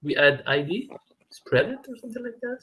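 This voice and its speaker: A man's voice: sits high, very animated, a little fast, bright, forceful tone, rough recording. A Moroccan man, a native Arabic speaker practicing English on a video call, friendly and often stopping to search for words and correct himself. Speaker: English learner. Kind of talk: casual video-call conversation.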